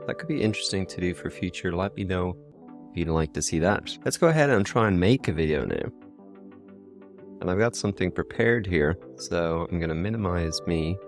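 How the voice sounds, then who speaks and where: An Irish man hosting a casual software tutorial in an enthusiastic way. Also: low voice, expressive, fairly quick, over music. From Ireland. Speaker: tutorial video host.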